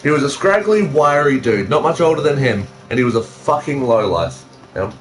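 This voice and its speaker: A man's voice: medium pitch, animated, moderate pace, poor audio. A man co-hosting a casual fan fiction reading, with dry teasing, plenty of swearing and an amateur read-aloud style.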